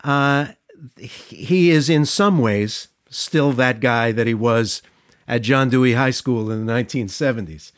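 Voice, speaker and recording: A man of around sixty interviewing conversationally, building his questions gradually with halting, thoughtful phrasing. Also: mid-range voice, no room sound, studio quality. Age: middle-aged or older, around 60.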